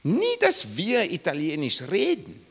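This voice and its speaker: Emphatic preacher man, swooping pitch. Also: huge pitch swings, measured pace, slightly rough. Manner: emphatic, rising and falling sharply, repeats questions to listeners. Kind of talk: Bible teaching sermon.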